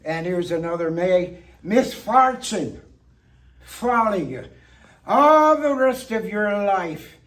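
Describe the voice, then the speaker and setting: Male monologue performer, high voice, playful tipsy toastmaster delivery with deliberate pauses, picked up at a distance. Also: expressive, a bit bright. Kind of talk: theatrical toast-making monologue. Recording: distant microphone.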